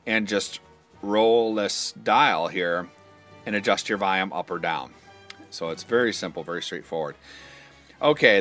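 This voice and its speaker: A man's voice: on the low side, a bit bright. A man casually explaining a product step by step in an informal hands-on demonstration, pointing out parts as he goes.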